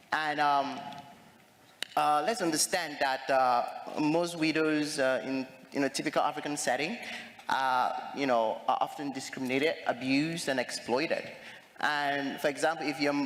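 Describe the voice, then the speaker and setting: Young guy from cameroon on a panel, kinda high voice, lots of uh and um, big echoey hall. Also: fairly bright tone. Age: young adult. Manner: earnest, hesitant with many fillers. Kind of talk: conference panel talk. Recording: long reverb of a large hall.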